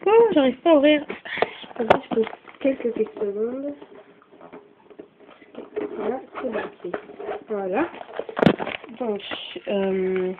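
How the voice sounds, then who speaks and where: A woman with a cold, chatting casually and rambling as she goes through items she is selling, with many pauses and apologies. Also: fairly high pitch, animated. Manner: rambling, full of "euh" and "voilà", apologetic. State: has a cold, coughing. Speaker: channel host selling her used items. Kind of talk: casual chatty sales video. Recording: tinny lo-fi phone-like recording with faint noise.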